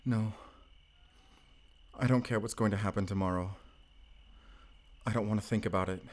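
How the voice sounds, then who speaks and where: A man acting as a Spanish vampire slayer in a romantic audio drama. His voice sits fairly low and carries a Spanish accent. He speaks closely and earnestly, like a tormented, tender hero talking to his lover. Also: fairly even intonation, normal speed, natural voice. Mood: desperate longing.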